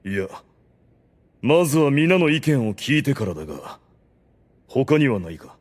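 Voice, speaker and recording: A man's voice: mid-range voice, sing-song, unhurried. A man playing a commanding, pompous undead overlord who is privately flustered, in a Japanese anime audio drama. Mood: lordly, taken aback. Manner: pompous, lordly. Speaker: ruler addressed by his subordinates. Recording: long hall-like reverb on voice.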